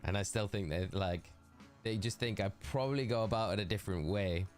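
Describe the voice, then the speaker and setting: Deep-voiced Geordie man chatting on stream. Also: fairly quick, faint music behind. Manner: rambling, chatty, addressing chat. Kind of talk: casual live-stream chat.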